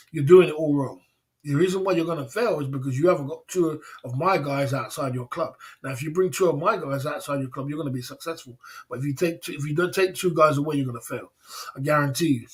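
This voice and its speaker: Middle-aged man, slightly high voice, brags and repeats himself on a livestream. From England. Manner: repetitive, boastful, acts out dialogues. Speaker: livestream host. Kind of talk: casual livestream talk.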